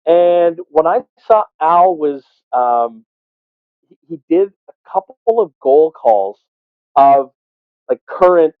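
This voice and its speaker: A man telling stories conversationally as an interview guest, often halting and repeating words. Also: on the high side, smooth. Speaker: interview guest, sports arena PA announcer. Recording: roomy, thin phone-like call audio.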